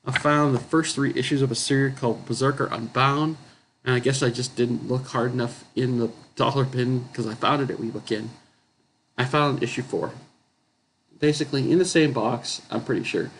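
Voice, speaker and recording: A man chatting casually about his comic book haul, his voice going hoarse and rough, clearing his throat often and correcting himself as he rambles. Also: mid-range voice, slight background noise. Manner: rambling, self-correcting, chuckling. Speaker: comic book collector vlogger.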